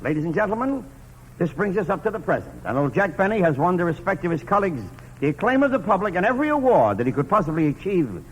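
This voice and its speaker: A man's voice: high voice, big pitch swings, quick pace. A man narrating a scripted comic biography in mock-grand style, setting up punchlines on a vintage radio show. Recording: noisy vintage radio, audience noise. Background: live audience.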